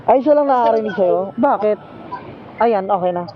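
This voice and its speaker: A man's voice: high-pitched, lively intonation, a little fast, a loud crowd. A man chatting casually and teasingly with a passenger as he rides a motorcycle taxi, talking into a helmet intercom. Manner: joking, teasing banter. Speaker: motorcycle taxi rider and vlogger. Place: outdoors riding a motorcycle in traffic. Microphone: helmet intercom microphone. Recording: muffled helmet intercom with loud road noise. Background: traffic and engine noise.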